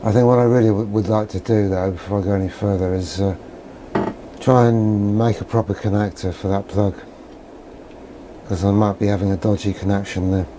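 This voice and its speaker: A man's voice: on the low side, fairly even intonation, brisk, noisy background. A man thinking aloud in an understated, musing way as he gives a casual hands-on electronics demonstration, often putting questions to himself. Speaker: electronics hobbyist presenter.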